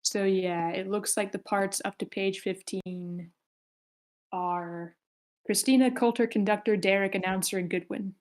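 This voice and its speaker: A woman's voice: medium pitch, steady tone, unhurried. A woman hosting an online table read, talking casually and politely as she organizes the group. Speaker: host of an online table read, casting parts. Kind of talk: casual group call chat. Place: online voice call.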